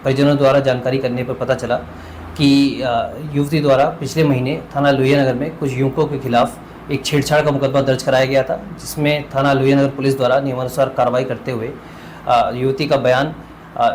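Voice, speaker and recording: A man giving an official police statement in a formal manner, pausing often with hesitations. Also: medium pitch, a little hiss or hum, low-quality recording. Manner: flat, formal, with frequent hesitations.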